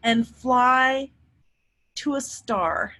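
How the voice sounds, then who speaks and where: A woman voicing an eager, adventurous dragon from a storybook in an excited, drawn-out singsong. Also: high-pitched, noisy background.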